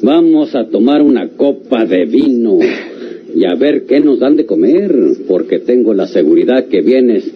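An actor playing a hearty, folksy country crony with a rural Mexican accent in an old radio serial. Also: male voice, mid-range voice, animated, unhurried, natural voice. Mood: jovial. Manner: chuckling, folksy. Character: hearty, slow-talking rustic Mexican crony. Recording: dull, noisy vintage radio recording.

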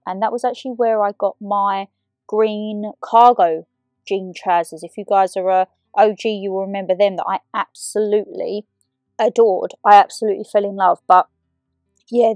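A young woman with a slightly croaky voice, chatting in a rambling, giggly way as a shopping vlogger over a voiceover. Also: mid-range voice, a little mellow, no room sound. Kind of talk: chatty vlog talk and voiceover.

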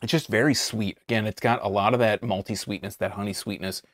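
An American man in his thirties giving a casual, unscripted review to camera, halting and rephrasing as he describes things. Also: lowish voice, steady tone, no room sound, studio quality. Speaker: beer reviewer, video host. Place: small indoor studio, seated at a table.

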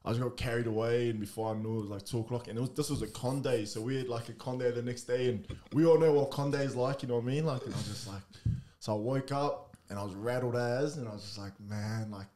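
Young man from New Zealand, slangy and relaxed. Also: mid-range voice, fast, hardly any pauses. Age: young adult. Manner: relaxed, slangy, storytelling with laughs. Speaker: guest rugby league player. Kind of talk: casual interview chat. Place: small home studio. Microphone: studio mic on desk stand with headphones.

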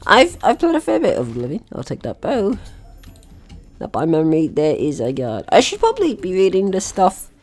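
A man's voice: high-pitched, huge pitch swings, moderate pace. A young man giving casual let's play commentary as he plays a game. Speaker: gaming video host.

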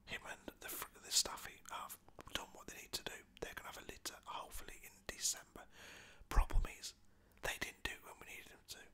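Casting: British-accented whisperer for chatty ASMR storytelling, cheeky and slangy, breathy, very sharp and sibilant tone. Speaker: storyteller talking about own pets.

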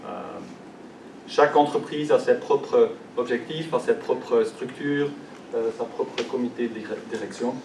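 A man's voice: mid-range voice, unhurried, background noise. A young man with a Belgian French accent, French not his first language, giving a conference presentation with many fillers and restarts. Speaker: conference speaker. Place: large conference hall. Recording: echoey hall, distant mic.

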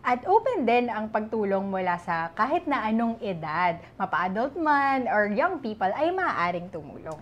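A young woman co-hosting a studio talk show, cheerful and quick to affirm her partner. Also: mid-range voice, sing-song, highs cut off. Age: young adult. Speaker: co-host. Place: small TV studio set. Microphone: lapel mic.

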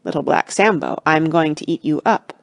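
A woman's voice: sits low, moderate pace, vocal fry, no room sound. A woman voicing a menacing, boastful, greedy male tiger in a children's storybook.

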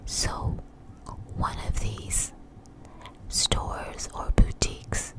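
A woman telling a personal story in a close, breathy ASMR whisper, with halting, deliberate phrasing. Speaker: ASMR channel host. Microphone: close microphone.

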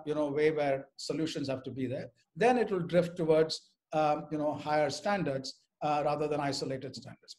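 A man's voice: fairly high pitch, a little mellow. A man speaking as a panelist on a video call, reflecting on ideas in a discussion.

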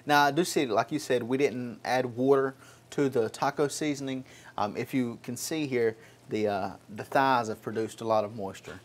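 A man's voice: medium pitch, steady tone, moderate pace. A man hosting a cooking show in a station kitchen, upbeat, asking leading questions and saying "wonderful". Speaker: show host, firefighter.

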